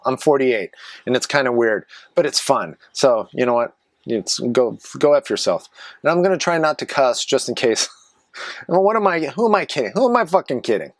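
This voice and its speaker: A man in his forties talks off the cuff in a small garage office, drifting from one aside to the next and poking fun at himself. His voice sits at an ordinary middle pitch, and the sound is close and dry with no room echo. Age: 40s. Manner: chatty, self-mocking, wandering asides. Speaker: vlogger and live streamer. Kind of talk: rambling casual monologue to camera.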